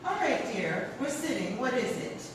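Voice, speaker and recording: An actress playing a mild, fretful elderly maiden aunt in a stage comedy. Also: female voice, high-pitched, big pitch swings, moderate pace. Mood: mild, dear. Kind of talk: theatrical stage comedy acting. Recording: very echoey noisy theater, distant.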